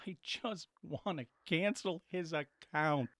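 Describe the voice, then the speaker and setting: An actor playing an exasperated, sarcastic son calling customer service in a comedic audio drama. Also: male voice, a little high, takes it slowly, a bit bright, no room sound. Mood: weary sarcasm. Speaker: caller.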